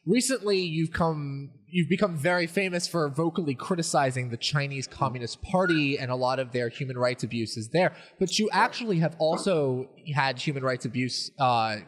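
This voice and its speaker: A man hosting an interview, asking measured, polite questions. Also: a little high. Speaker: interview host. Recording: long reverb.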